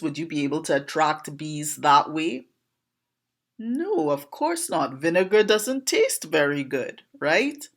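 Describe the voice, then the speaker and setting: A woman with a Trinidadian accent and a slightly hoarse voice, teaching informally in a deliberate, questioning way, like an educator talking to viewers. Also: low-pitched. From Trinidad and Tobago. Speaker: language educator, presenter. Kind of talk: informal explanatory lesson to camera.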